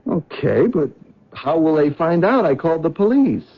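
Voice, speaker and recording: A man's voice: on the high side, sing-song, normal speed, slightly rough, heavily acted. An actor playing an honest, talkative male cab driver in a 1970s radio drama. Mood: insistent. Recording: dull old radio broadcast.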